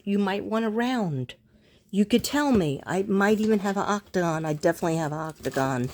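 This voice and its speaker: A woman talking casually to viewers in an unhurried, meandering way, thinking aloud as she goes. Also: on the low side. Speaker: psychic reader and vlogger. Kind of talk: casual rambling vlog talk to viewers.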